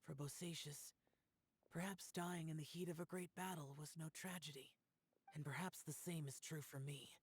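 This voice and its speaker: Smooth, brooding young immortal warrior. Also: male voice, a little high, fairly even intonation. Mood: somber, brooding. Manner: grave, measured, reserved. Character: solemn ancient yaksha warrior, youthful male, brooding and stoic. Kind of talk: video game voice acting.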